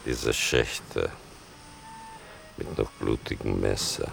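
An extremely low, heavily creaking male voice narrates as a reflective son in a radio play. The delivery is slow, deliberate and dryly ironic. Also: steady tone, background noise, exaggerated voice. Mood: measured, grave. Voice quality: gravelly. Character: reflective writer son recounting his mother's story.

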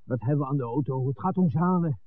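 A man's voice: mid-range voice, quick pace, dry recording, lightly acted. An actor playing an anxious, impatient man searching for a missing woman in a 1960s radio drama. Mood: urgent. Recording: narrow, phone-like old radio recording.

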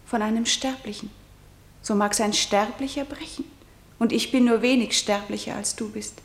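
A defiant young princess played by a woman in a radio play. Her voice is fairly high and intense, declaiming verse without yielding. It rings in an echoing room. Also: steady tone, moderate pace, natural voice. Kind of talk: verse radio drama acting.

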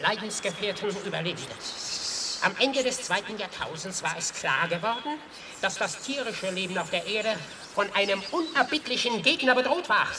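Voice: mid-range voice, natural voice. A performer in a radio play voicing a grave animal prosecutor who accuses mankind in solemn, declamatory oratory. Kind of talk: radio play acting, formal courtroom speech. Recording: distant, noisy radio play sound.

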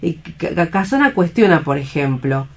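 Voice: mid-range voice, sing-song, moderate pace. An Argentine host leading a round-table cultural discussion on the radio.

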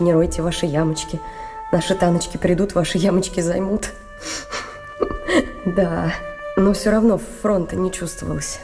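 Actress playing a wartime civilian woman, long reverb, halting, distraught, pleading, radio drama, music behind. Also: fairly low pitch, dark tone, lightly acted. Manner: halting, emotional, repeats words. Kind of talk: Russian radio play acting.

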